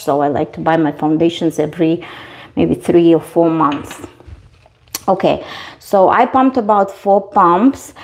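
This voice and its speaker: A woman's voice: lowish voice, darkish tone. A woman with a Russian accent, a native Russian speaker, chatting casually through a livestream makeup review from her bathroom, rambling with many ums and restarts. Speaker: beauty vlogger. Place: marble bathroom at home.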